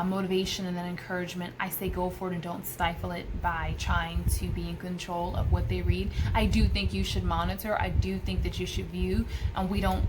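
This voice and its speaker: A woman in her thirties giving casual, emphatic homeschooling advice to camera in a room at home. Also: mid-range voice. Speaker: homeschool vlogger. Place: small room at home by a window. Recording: clearly noisy room recording.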